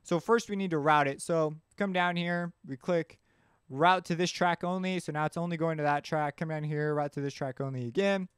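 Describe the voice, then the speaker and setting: A man narrating a casual software tutorial, talking informally as he thinks aloud. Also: a little high, normal speed, clear, resonant tone, dry recording, clean studio sound. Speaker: tutorial host. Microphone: close mic screencast voice-over.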